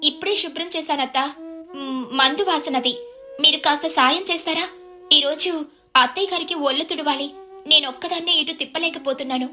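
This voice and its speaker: A woman's voice: sits high, moderate pace, pauses often, bright, forceful tone, voice pushed up. A woman voicing a meek, dutiful young daughter-in-law in a family story, deferential and pleading. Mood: anxious, polite.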